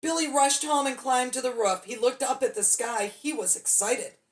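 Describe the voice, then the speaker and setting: A woman's voice: high voice, normal speed. A woman storyteller reading a children's bedtime story expressively, drawing out key words for dramatic emphasis.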